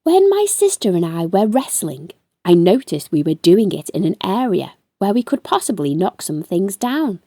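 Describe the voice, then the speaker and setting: A woman reading a children's book aloud as a storytime host, with expressive, deliberate storytelling and drawn-out emphasis. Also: medium pitch, no echo.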